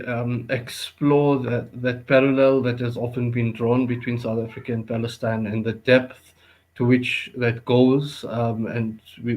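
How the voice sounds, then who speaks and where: A male interview host leads the conversation with a mid-pitched voice. He pauses often, stammering and leaning on fillers as he frames his questions. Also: a little mellow, poor audio. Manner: hesitant, lots of fillers. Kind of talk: interview moderation.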